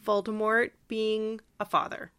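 A woman co-hosting a podcast, discussing a story casually with thoughtful, measured phrasing. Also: medium pitch, monotone, a bit bright, clear, resonant tone. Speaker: podcast co-host. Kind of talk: casual literary discussion chat.

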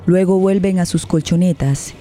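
An actress playing a grave narrator in a tragic radio play, solemn and measured in her descriptions. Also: lowish voice, warm tone, slight background noise, lightly acted. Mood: grave, tense.

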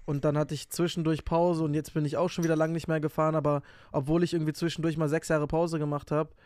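A young man co-hosting a podcast, energetic and slangy with crude banter. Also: on the high side, quick pace, no echo. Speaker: podcast co-host. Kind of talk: casual chat.

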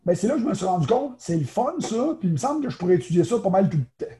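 Quebec French male guest, naturopath, casual, higher voice, very warm tone. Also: very animated. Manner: chatty, quick, many fillers and restarts. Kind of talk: casual interview talk.

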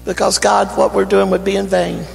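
A man with a North Carolina Southern accent, an evangelist praying and preaching a fervent sermon that builds to shouting in a church. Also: sits high, little pitch movement, moderate pace, vocal fry. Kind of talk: sermon with opening prayer. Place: church sanctuary. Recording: church hall reverb, faint music.

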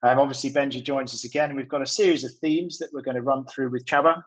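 A man hosting a conversational podcast interview, speaking in wordy, halting phrases with repeated words. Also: mid-range voice, steady tone, low-quality recording. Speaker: podcast host.

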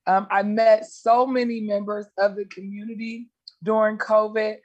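Woman guest, a little raspy. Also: medium pitch, little pitch movement, normal speed, darkish tone, muffled recording. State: slightly raspy voice. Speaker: guest, small business owner. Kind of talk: interview answers. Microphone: video call.

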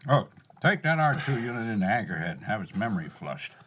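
An actor playing a stern middle-aged farmer uncle in a radio drama, curt and dismissive. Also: male voice, on the low side, sing-song, fast talker, a bit bright, breathy edge, exaggerated voice. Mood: dismissive, guarded.

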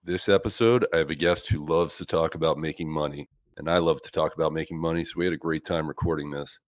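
A man's voice: very deep voice, steady tone, quick pace. A man in his forties hosting a podcast, upbeat and enthusiastic as he introduces and questions his guest. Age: 40s. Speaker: podcast host.

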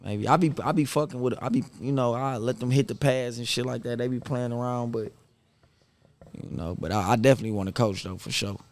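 A man's voice: mid-range voice, a little fast. A man, talking casually and reservedly as an interview guest, with slangy phrasing. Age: 30s. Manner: reserved, even-keeled, slangy. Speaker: podcast guest, boxer.

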